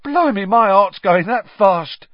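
A man voicing a chatty, excitable young cockney bus conductor, gossipy and dramatic, for a storybook. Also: high voice, normal speed, no room sound, highs cut off, voice pushed up. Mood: shocked. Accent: London working-class. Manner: gossipy, dramatic, colloquial, tag questions.

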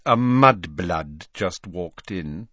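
Guy putting on a snotty spoilt teen boy, sneery drawl, petulant, pitch all over, storybook villain. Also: lively intonation, extremely slow, fairly bright tone, dry recording, heavily acted. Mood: sneering.

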